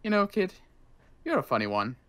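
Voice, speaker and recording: A man voicing a wary but kindly armed guard in an improvised game roleplay, speaking casually and haltingly. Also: sits high, wildly swooping pitch, fairly bright tone, smooth, heavily acted. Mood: friendly. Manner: casual, halting, hesitant delivery.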